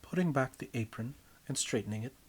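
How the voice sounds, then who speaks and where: A male storyteller with a husky, slightly rough voice and a mid-range pitch. He reads scripted fiction dramatically, shaping each phrase deliberately and leaning on key words. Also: expressive, darkish tone. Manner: theatrical, emphatic, deliberate phrasing. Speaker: writer and reader of own story. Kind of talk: dramatic scripted story narration in second person.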